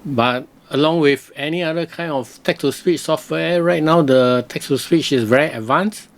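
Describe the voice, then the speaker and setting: Male tutorial host, fairly high-pitched, casual, hesitant step-by-step commentary. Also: moderate pace. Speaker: software reviewer, host.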